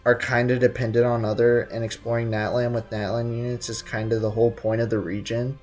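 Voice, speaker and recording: A woman with an unusually low voice comments casually on a game she is streaming. Her manner is wry, sarcastic and full of slang. Soft music plays faintly behind her. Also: flat delivery, fairly quick.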